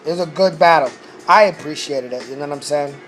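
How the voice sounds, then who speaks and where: A man's voice: fairly high pitch, fairly quick, fairly bright tone, soft background music. A man who says he is losing his voice, recapping a rap battle in a casual, profane way and often saying "you know what I'm saying".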